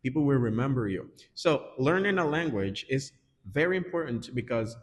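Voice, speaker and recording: Male English teacher being interviewed, Dominican Spanish accent, mid-pitched voice, earnest explainer, roomy reverberant sound. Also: lively intonation, moderate pace, slightly rough. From Dominican Republic. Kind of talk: conversational interview answers. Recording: echoey reverberant room.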